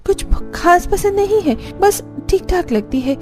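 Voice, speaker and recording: A woman's voice: extremely high pitch, animated, a little slow, darkish tone, faint music behind. A woman voicing a young daughter-in-law in a cartoon story, outwardly polite to her elders and given to grumbling and muttered complaints. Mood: dismayed complaining. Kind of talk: Hindi cartoon story acting.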